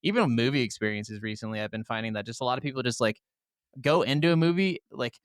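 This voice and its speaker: A man's voice: mid-range voice, fast, smooth, no room sound, studio quality. A man co-hosting a podcast in casual, informal conversation, airing his opinions with some swearing. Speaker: podcast co-host.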